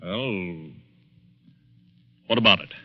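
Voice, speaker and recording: An actor playing a cold, dismissive unfaithful lover with curt delivery in a 1940s radio thriller. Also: male voice, low-pitched, slow. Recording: muffled old radio drama recording.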